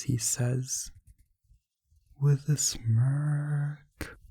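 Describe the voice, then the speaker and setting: A man narrating a hypnotic ASMR roleplay in a hushed, deliberate manner, often dropping toward a whisper. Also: lowish voice, slightly rough, dry recording.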